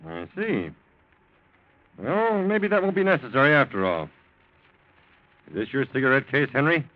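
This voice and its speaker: A man's voice: medium pitch, very animated, normal speed, close to an everyday voice. A man acting in an old radio drama as a wily, laconic police lieutenant who talks in a probing, hesitant way. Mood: sly, pointed. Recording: dull, lo-fi old radio recording.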